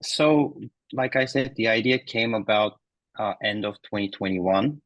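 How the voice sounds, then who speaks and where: A man in his thirties from Turkey, answering interview questions in a relaxed way with many ums and uhs. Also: on the low side, measured pace, dry recording, highs cut off. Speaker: founder and guest. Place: remote recording.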